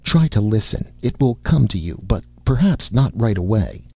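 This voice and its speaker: A man reading aloud, voicing a composed astronomer who speaks in a measured, insistent way, in a slightly muffled dry recording. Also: lowish voice, sing-song, vocal fry, slightly rough, no echo, voice pushed up, heavily acted. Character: composed, probing male astronomer.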